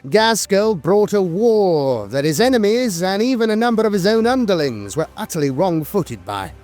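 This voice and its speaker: A man's voice: sits high, dry recording. A man narrating scripted lore in a dramatic, wry storytelling manner.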